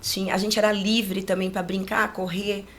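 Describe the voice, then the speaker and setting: A woman's voice: mid-range voice, level tone, normal speed, fairly bright tone, a little hiss or hum. A woman in her late forties from rural Brazil, with a Brazilian accent, telling personal stories casually as an interview guest, often adding agreement-seeking tags. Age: late 40s.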